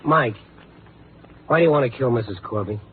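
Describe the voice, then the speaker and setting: An actor playing a steady, world-weary newspaper reporter in 1950s radio drama, measured and terse as he questions and narrates. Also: mid-range voice, slight background noise, close to an everyday voice. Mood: steady, questioning. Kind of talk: 1950s radio drama, hard-boiled first-person narration. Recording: tinny 1950s radio broadcast.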